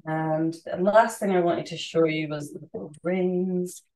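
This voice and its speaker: A woman's voice: lowish voice, steady tone, unhurried, mellow, rough recording. A woman in her thirties with a London accent, chatting casually while cooking live in her home kitchen, rambling with lots of fillers and restarts. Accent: London English. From England. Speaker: vegan cook and host. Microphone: camera on the counter, a few feet away.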